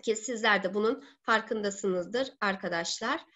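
A woman in her thirties giving an online seminar as a teacher, speaking deliberately with halting, drawn-out words. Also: mid-range voice, bright, forceful tone. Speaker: teacher presenting a webinar. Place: living room at home. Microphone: laptop or webcam mic on video call. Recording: dull lo-fi webcam call audio.

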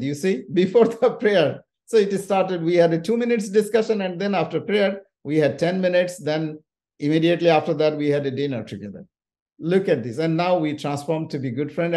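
Animated, emphatic male moderator. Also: high voice. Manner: animated, emphatic, sometimes shouting. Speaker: summit moderator. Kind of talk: casual chat introducing a speaker.

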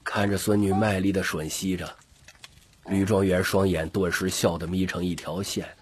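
A man with a gravelly, husky voice narrating an audiobook story expressively. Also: deep voice, fairly even intonation, normal speed.

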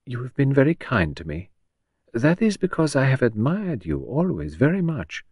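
A man doing a gentle detective. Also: mid-range voice, wildly swooping pitch, normal speed, husky, no room sound, clean studio sound, voice pushed up. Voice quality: precise, formal phrasing. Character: fussy foreign male detective, gentle, weary. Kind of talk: audiobook character voice.

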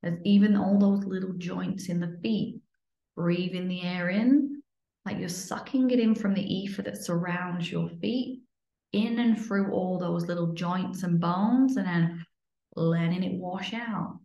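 A woman leading a guided breathing meditation in a soothing, instructional way, sometimes dropping to a breathy near-whisper. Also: on the low side, fairly even intonation, measured pace, mellow. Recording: muffled, lo-fi, roomy echo.

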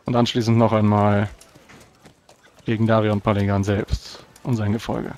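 A man's voice: fairly low pitch, level tone, normal speed, a little mellow. A man playing a tabletop roleplaying game, speaking in solemn, formal in-character speech.

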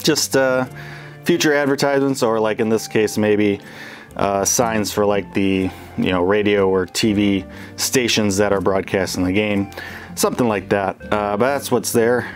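A man's voice: on the low side, fairly even intonation, normal speed, soft background music. A young man casually talking through an update on the stadium he is building, rambling with plenty of fillers and small chuckles. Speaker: LEGO builder showing his model stadium. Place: indoor room with the model, wood-paneled walls.

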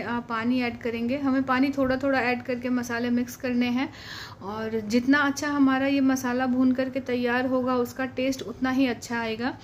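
A woman hosting a cooking channel, calmly walking through a recipe step by step in a voice-over, often pausing with "uh". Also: high-pitched, slight background noise. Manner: patient, explanatory, frequent "uh" pauses.

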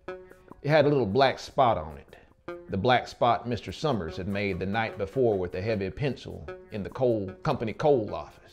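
A man's voice: fairly low pitch, lively intonation, normal speed. A man in his thirties with a Southern American accent, narrating a story dramatically as an audiobook reader. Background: music.

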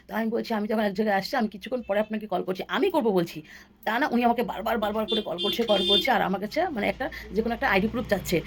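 Fast-talking woman, slightly gravelly. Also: mid-range voice, a little hiss or hum. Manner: rambling, repeats phrases for emphasis. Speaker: vlogger. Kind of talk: casual storytelling to viewers.

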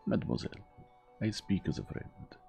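A man voicing a gentle, fastidious elderly foreign detective who speaks in a measured, formal, persuasive way. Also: soft background music, close to an everyday voice. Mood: earnest.

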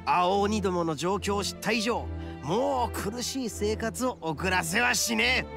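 A man voicing a boisterous, big-hearted young oni gang boss in a Japanese game, cocky and loyal, with swaggering rough speech. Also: high-pitched, lively intonation, a little slow, over music, full character voice. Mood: confident, reassuring. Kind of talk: Japanese anime game voice acting.

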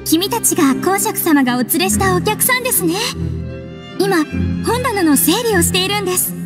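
An actress playing a polite, earnest young bookish club member in an anime game, explaining things gently. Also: female voice, very high-pitched, lively intonation, moderate pace, over loud music. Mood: friendly. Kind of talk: Japanese anime game voice acting.

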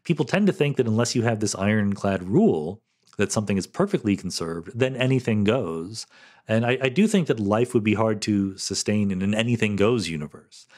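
Low-voiced man with lively intonation. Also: fairly quick. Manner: candid, explanatory, frequent "um" and "you know" fillers. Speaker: podcast host answering listener questions. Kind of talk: solo podcast Q&A, thinking aloud.

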